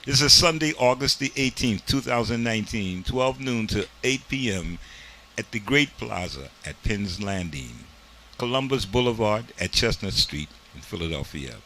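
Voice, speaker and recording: A man's voice: on the low side, lively intonation, moderate pace, sharp tone, slight background noise, rough recording. A man hosting a community TV interview in a studio, talking in an emphatic, preachy way. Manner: preachy, emphatic, uses "this here". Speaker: community TV host. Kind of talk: casual interview and commentary.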